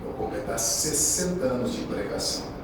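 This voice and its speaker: A man's voice: fairly low pitch, big pitch swings, moderate pace. A man in his sixties from Brazil preaching a sermon in a conversational, anecdotal way, restarting his phrases often. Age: 60s. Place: large echoey hall. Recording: echoey hall, distant mic, background noise.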